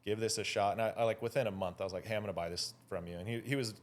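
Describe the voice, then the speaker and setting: A man's voice: fairly low pitch, fairly even intonation, very fast. A Californian man chatting casually as an interview guest, with a laid-back, slangy way of talking. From Hawaii and California, United States. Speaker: guest, drone photographer.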